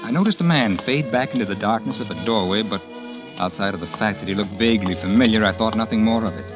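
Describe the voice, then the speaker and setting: An actor playing a conceited, cold writer who also narrates, in a 1940s radio drama. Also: male voice, on the low side, fast talker, music in the background, lightly acted, poor audio. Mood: narrating. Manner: smug, dismissive, curt. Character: cold, conceited man-about-town writer. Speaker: narrator and lead character. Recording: old radio broadcast.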